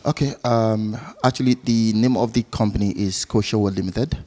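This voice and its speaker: A man's voice: lowish voice, fairly even intonation, moderate pace, warm tone. A man with a Nigerian accent answering interview questions as a guest at a live business event, jovial and chuckling as he talks. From Lagos, Nigeria.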